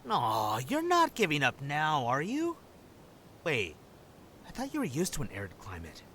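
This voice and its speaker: A playful, theatrical male operative for an audio drama. His bright, fairly high voice leaps around in pitch as he teases and jokes with cocky showmanship. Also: wildly swooping pitch, a little hiss or hum, exaggerated voice. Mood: teasing, upbeat. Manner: theatrical, joking, drawn-out delivery.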